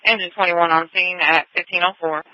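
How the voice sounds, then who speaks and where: A radio dispatcher with a sharp, very bright voice in a medium register. The delivery is procedural and clipped, confirming units and times in set phrases. It comes through a band-limited scanner radio. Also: medium pitch, steady tone, brisk, no room sound, lo-fi sound. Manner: clipped, formulaic, matter-of-fact. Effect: two-way radio.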